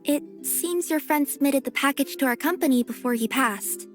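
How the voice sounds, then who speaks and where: A woman playing a polite, very high-voiced courier girl. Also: moderate pace, faint music behind, lightly acted. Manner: polite, businesslike, reassuring. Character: polite young female courier, kind. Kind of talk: game cutscene voice acting.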